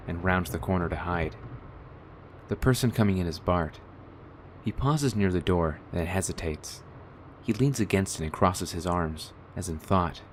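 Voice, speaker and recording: Male narrator for audio drama, very low pitch, scripted read, slight ambient noise. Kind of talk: scripted radio-play narration.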